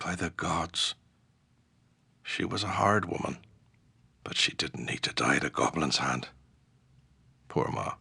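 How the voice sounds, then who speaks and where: An actor playing a gruff, wry but good-hearted ship's captain in a fantasy video game, with salty, colloquial seafaring speech. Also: male voice, on the low side, sharp tone, no echo, full character voice. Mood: grieving, wry.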